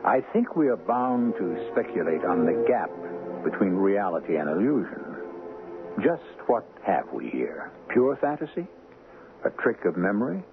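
Gravelly, theatrical radio mystery host. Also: male voice, lowish voice, big pitch swings. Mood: musing, dramatic. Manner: dramatic rhetorical questioning with pauses. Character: urbane, probing, theatrical male mystery host. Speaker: host and narrator. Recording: muffled phone-like 1970s radio, music behind.

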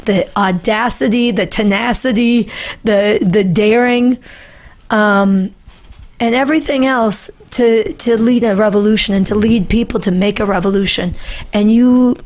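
A woman's voice: fairly high pitch, a little mellow. A middle-aged woman hosting a political panel show, speaking with earnest, emphatic conviction and repeating phrases for stress, close on a studio microphone. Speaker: show host. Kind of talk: impassioned political panel commentary. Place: small book-lined studio, indoors. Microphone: boom-arm podcast microphone, close.

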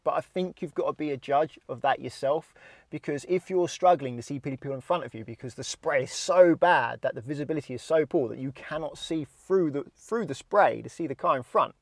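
A man's voice: medium pitch, no echo, studio quality. A man in his thirties with a British accent, explaining things step by step as a driving instructor in plain, informal words. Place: inside a parked car. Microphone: lapel mic.

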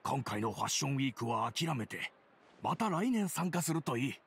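An actor playing a weary, cautious older male business partner in a game, speaking in a measured, admonishing way. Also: mid-range voice, sing-song, creaky, fairly bright tone, slightly rough, exaggerated voice. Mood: admonishing, resigned. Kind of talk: Japanese game voice acting.

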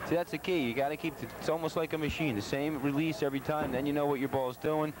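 A man's voice: fairly high pitch, steady tone, fast talker, a crowd in the background. A man with an American accent giving informal, instructive sports analysis and tips as co-commentator in a bowling alley. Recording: noisy bowling alley, 1990s cable TV audio. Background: clear bowling alley noise.

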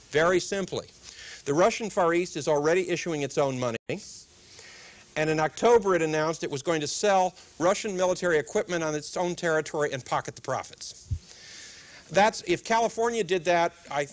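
A middle-aged man with a dry, wry humor, giving a public lecture at a desk microphone in a lecture room. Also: fairly high pitch. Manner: dry wry humor, quotes with comic timing. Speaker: guest speaker.

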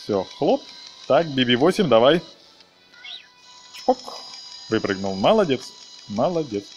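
A man's voice: medium pitch, big pitch swings, slow, soft background music. A man giving a casual gameplay walkthrough, talking viewers through each step in slangy, diminutive-laden wording. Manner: talks viewers through steps, fond of diminutives and slangy words. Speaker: video game let's player.

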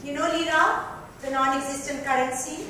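A woman's voice: high-pitched, fairly even intonation, moderate pace, sharp tone, distant mic. A woman playing a grieving, theatrical tragedy queen in a dramatic book reading. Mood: theatrical, bitter. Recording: echoey room from afar, noisy.

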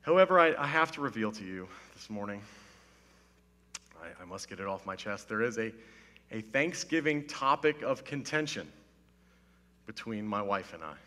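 A man in his thirties, a pastor, giving a casual, humorous sermon in a slightly hoarse voice, playful and mock-serious, on a headset mic on a church stage. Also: fairly low pitch, lively intonation, normal speed, pauses often, sharp tone. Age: 30s. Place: church stage with wood-panelled wall. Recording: long hall reverb.

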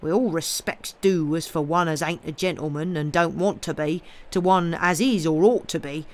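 A man's voice: on the high side, moderate pace, lightly acted. A man voicing a surly, sleepy night watchman with a rustic English dialect, growling roundabout threats in an audiobook story. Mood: grumbling, threatening.

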